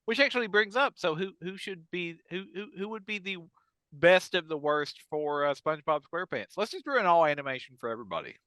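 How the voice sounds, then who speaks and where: A man hosts a loose comedy discussion in a bright, crisp voice. He works ideas out aloud, haltingly, doubling back on his words, and the sound is close and dry. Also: a little high, animated, dry recording. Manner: halting, thinks out loud, repeats himself. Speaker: podcast host. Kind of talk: casual comic discussion.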